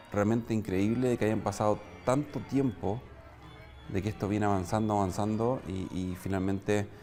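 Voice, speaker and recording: A young man with a Chilean accent giving his opinion as an interview guest, halting and repeating words as he searches for the next one. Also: sits low, creaky, mellow. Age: young adult. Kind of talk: spontaneous opinion.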